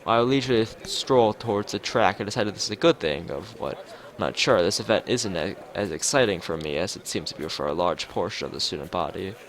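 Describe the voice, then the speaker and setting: A man reading a visual novel aloud as a let's player, casually, with halting, rambling asides. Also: sits low. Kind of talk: casual game narration with side commentary. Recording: music behind the voice. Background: game music.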